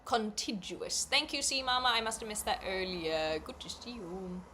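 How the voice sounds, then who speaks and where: A woman's voice: mid-range voice, animated, moderate pace, bright, forceful tone, a little hiss or hum. A young woman with a faint New Zealand accent, chatting casually on a stream. She thinks aloud, piles up fillers and restarts, and laughs easily as she reads and answers her viewers.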